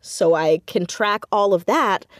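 A woman being interviewed as an audio drama creator, talking conversationally in an expressive, effusive way. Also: medium pitch, measured pace, dry recording, studio quality. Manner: expressive, effusive, acts out quoted dialogue. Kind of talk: animated conversational interview, storytelling with acted quotes.

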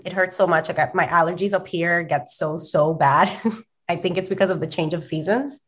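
A woman in her thirties co-hosting a casual podcast, her voice raspy and hoarse from allergies, chatty and full of "like". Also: fairly low pitch, normal speed. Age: 30s. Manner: chatty, lots of "like", laughs often. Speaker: podcast co-host.

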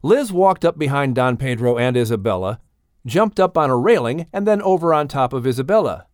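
Animated male audiobook narrator. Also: mid-range voice, a little fast, dry recording, clean studio sound. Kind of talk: scripted children's story narration.

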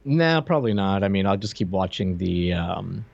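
A man's voice: low voice, animated, normal speed, vocal fry, darkish tone, no room sound. A man co-hosting a podcast, giving his opinions in casual pop-culture review chat. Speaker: podcast co-host. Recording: slightly muffled lo-fi mic, dry.

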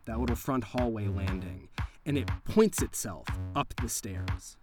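A man narrating a tabletop horror game as game master, setting scenes in a deliberate, cinematic way. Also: medium pitch, animated, music in the background.

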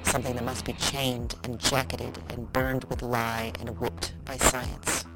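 A woman voicing the spirit of a confined mad woman from the past, speaking in a measured, flat, incantatory way over loud music. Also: rumbling low, rough recording. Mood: defiant. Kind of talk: audio drama.

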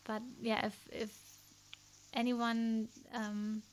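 A woman's voice: fairly high pitch, little pitch movement, very slow. A woman with a German accent, a podcast host chatting casually and searching for words in English. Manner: thoughtful, hesitant, many fillers. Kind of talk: casual interview chat.

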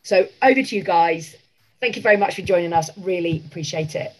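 A woman organising a live event, introducing the speakers quickly and partly reading from her notes. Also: fairly low pitch, rough recording.

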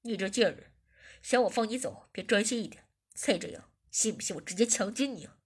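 A woman voicing a bossy, domineering man who taunts and gives orders in a lowered, husky voice. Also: fairly quick. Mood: taunting. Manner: taunting, commanding.

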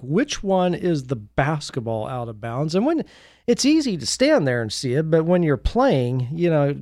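American guy doing a solo podcast, voice a bit on the high side, rambly storytelling, really dry audio. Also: a little mellow, no room sound. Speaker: podcast host. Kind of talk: informal solo podcast monologue.

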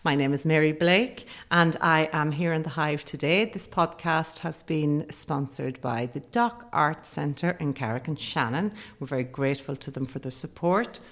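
A woman hosting a podcast, interviewing a guest in a relaxed conversational style. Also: deep voice, normal speed, few pauses. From Ireland.

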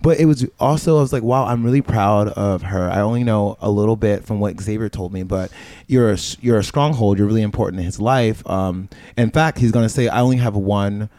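A man hosting a casual podcast conversation, chatty and animated, with playful asides. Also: on the low side, brisk, no echo. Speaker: podcast host.

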